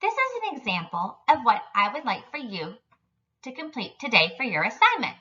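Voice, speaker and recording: A woman's voice: a little high, big pitch swings, normal speed, pushed and bright, far from the mic, dull audio. A woman elementary school teacher giving an instructional lesson to young children in careful, simple phrasing.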